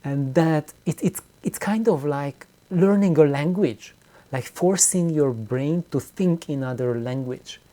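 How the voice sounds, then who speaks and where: A middle-aged man giving an unscripted, reflective explanation to viewers, haltingly and with words repeated for emphasis. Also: fairly high pitch, mellow. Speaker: audio hobbyist video host.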